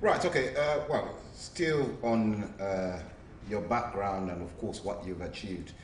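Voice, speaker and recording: A man's voice: fairly low pitch, takes it slowly. A man hosting a TV interview, asking rambling questions full of fillers. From Zambia. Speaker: TV show host. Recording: roomy, distant mic, faint noise.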